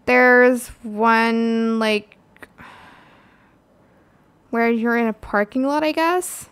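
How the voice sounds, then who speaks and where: High smooth female voice, casual tutorial host walking thru software steps. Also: steady tone, extremely slow.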